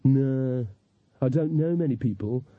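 A man's voice: medium pitch, unhurried, natural voice. A man voicing a resigned, bitter young woman who speaks in a guarded way, in an audiobook story. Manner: gloomy, guarded. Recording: echoey, narrow phone-like audio.

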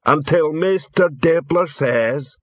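A big, dim, proud troll henchman, acted by a male narrator in an audiobook. He drags his words out very slowly and simply, in a husky voice on slightly muffled audio. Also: a little high, dry recording, pitched above the performer's own voice. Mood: dutiful, stubborn. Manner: slow, ponderous, simple. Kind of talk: audiobook character voice.

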